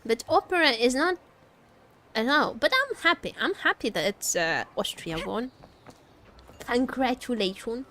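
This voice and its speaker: A woman's voice: a little high, lively intonation, unhurried, long pauses, bright, forceful tone. A woman livestream host chatting casually, rambling and searching for her words as she goes. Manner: rambling, hesitant word searching.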